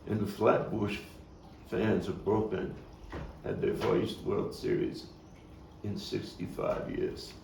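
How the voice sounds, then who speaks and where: A haunted Brooklyn man acted by a man on stage confesses in a low, gravelly voice. He is brooding and halting, full of bitterness and anguish. The theatrical monologue is picked up from a distance in a roomy space. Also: wildly swooping pitch, darkish tone, exaggerated voice. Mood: bitter, wistful. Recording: roomy, distant mic, faint noise.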